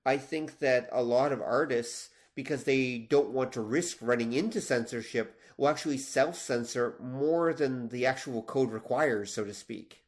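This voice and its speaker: Male co-host, average pitch, casual and explanatory, conversational podcast delivery. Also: moderate pace, hardly any pauses. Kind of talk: casual discussion.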